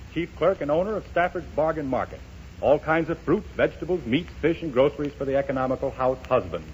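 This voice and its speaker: A man's voice: fairly high pitch, highs cut off, slight background noise. An American man working as a radio comedy announcer in a scripted 1930s style, on a vintage broadcast recording.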